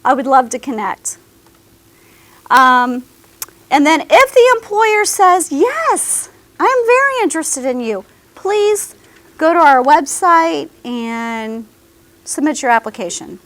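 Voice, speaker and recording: A woman's voice: high-pitched, big pitch swings, takes it slowly, fairly bright tone, no echo. A woman giving a career services presentation to a class of students, talking to them directly and often checking in with "okay?". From American South.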